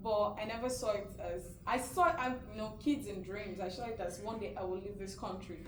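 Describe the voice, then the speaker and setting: A woman's voice: medium pitch, steady tone, a little fast. Young female host, chatty and loose, echoey room with faint hiss. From Nigeria. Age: young adult. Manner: chatty, lots of "like" and "you know". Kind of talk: casual group chat.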